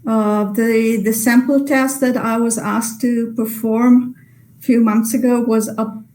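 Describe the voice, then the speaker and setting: Woman answering webinar questions, slow and careful, lots of restarts. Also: a little high, level tone, darkish tone. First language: Italian. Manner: measured, hesitant, many restarts. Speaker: guest translator.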